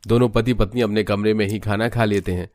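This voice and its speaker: A man's voice: lowish voice, steady tone, brisk, a little mellow. A man narrating a scripted family story in storybook style.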